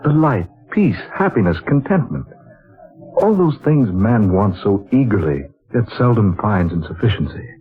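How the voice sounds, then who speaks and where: A man's voice: fairly low pitch, measured pace, soft background music. An actor playing an earnest, searching younger man who doubts and pleads, in a 1940s radio drama. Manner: earnest, pleading, expressive delivery. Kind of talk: 1940s radio acting and first-person narration. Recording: narrow tinny old radio broadcast.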